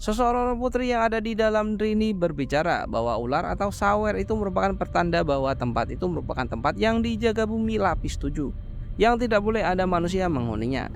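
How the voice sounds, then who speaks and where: Lively high-voiced man recapping a film. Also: gravelly, slight background noise. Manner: brisk storytelling with slangy joking asides. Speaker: movie recap narrator. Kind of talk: casual movie recap narration.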